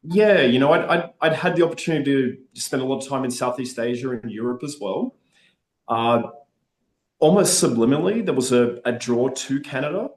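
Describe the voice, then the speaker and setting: A man's voice: mid-range voice, expressive, a little fast, a little mellow. Upbeat Australian man, echoey room. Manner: upbeat, chatty, frequent restarts. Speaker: guest. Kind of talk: casual interview.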